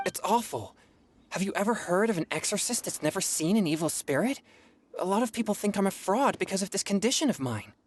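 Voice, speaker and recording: A woman voicing an earnest, reserved teenage boy exorcist in an anime game, speaking politely and with measured care. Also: medium pitch, lively intonation, close to an everyday voice. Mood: disappointed.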